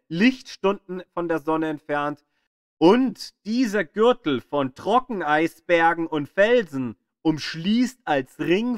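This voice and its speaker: A man reading scripted educational narration in a deliberate, explanatory manner, as the narrator of an audio guide. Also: on the high side, no room sound, clean studio sound. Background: music behind the voice.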